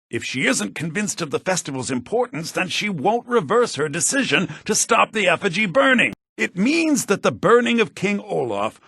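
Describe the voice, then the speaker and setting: An actor playing a scholarly, theatrical headmaster of a bards' college in a fantasy video game, speaking in a formal, declamatory manner. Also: male voice, high voice, creaky, pushed and bright, husky, no room sound, studio quality, heavily acted. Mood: dismayed. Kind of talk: fantasy video game character dialogue, with verse recitation.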